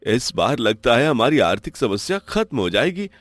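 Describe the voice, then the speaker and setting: A man's voice: mid-range voice, sing-song, moderate pace, no echo. Troubled, honest poor farmer played by a man. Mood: hopeful. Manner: pleading, lamenting. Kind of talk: moral story dialogue.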